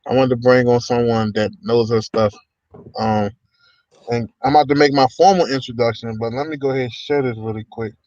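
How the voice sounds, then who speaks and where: A man's voice: medium pitch, fairly even intonation, a little fast, a little mellow, dry recording, muffled recording. A man hosting a casual livestream podcast, welcoming viewers with upbeat energy and lots of ums and uhs. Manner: upbeat, hype-style welcomes with many fillers. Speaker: podcast host.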